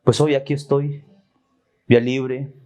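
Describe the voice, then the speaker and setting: A man whose first language is Tzotzil, a former prisoner, speaking in solemn, measured declarations. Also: medium pitch, flat delivery, mellow.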